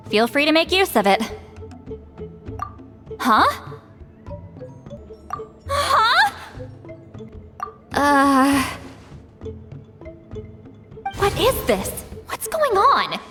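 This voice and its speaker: Cocky offhand spirit guide lady from a game, high swoopy voice, music under it. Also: fairly bright tone, long reverb tail, full character voice. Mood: casual, then flustered. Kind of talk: English video game voice acting.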